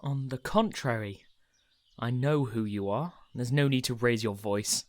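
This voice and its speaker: An actor playing a cheeky, persistent, unfazed young character in a radio play, with a playful, teasing, halting delivery. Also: mid-range voice, a little hiss or hum, natural voice.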